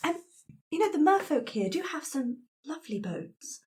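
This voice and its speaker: A woman's voice: sits high, big pitch swings, moderate pace, a little mellow. A woman improvising casually as a player in a tabletop roleplaying game. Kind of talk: casual in-character improvised chat.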